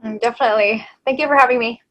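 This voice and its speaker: A woman in her thirties from Thailand, a native Thai speaker, talking as an interview guest in a hesitant way, with many fillers and soft laughs. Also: on the high side, fairly even intonation, very fast, rough recording. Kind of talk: casual interview chat.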